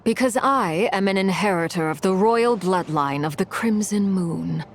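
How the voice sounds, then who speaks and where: A woman voicing a composed, regal woman of authority in a video game, speaking in a measured, formal, cool manner. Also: medium pitch, expressive, slight background noise. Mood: cool, solemn. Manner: measured, formal, self-possessed.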